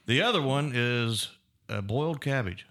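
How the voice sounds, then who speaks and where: A man's voice: mid-range voice, lively intonation, normal speed, fairly bright tone. A man hosting a podcast, chatty and rambling, who laughs easily. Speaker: podcast host. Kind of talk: casual chat. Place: podcast room.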